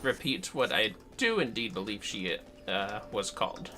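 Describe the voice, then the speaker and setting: Guy on a game stream, super bright voice, rambles and swears, music playing. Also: fairly low pitch, very animated, normal speed. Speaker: game livestreamer. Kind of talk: casual chat while gaming.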